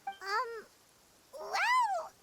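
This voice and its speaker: A woman voicing a small, childlike, excitable fairy companion in a video game, squeaky and exclamatory. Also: extremely slow, heavy background noise, heavily acted. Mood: hesitant. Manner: speaks of self in third person, exclamatory. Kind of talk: video game character acting. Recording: game music behind the voice.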